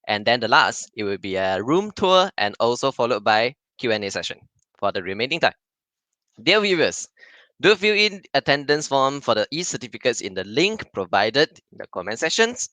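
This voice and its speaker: A man's voice: medium pitch, very animated, bright, forceful tone, dry recording. A young man hosting an online webinar, introducing the session and speaker with frequent restarts. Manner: halting, restarts words often. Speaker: webinar host.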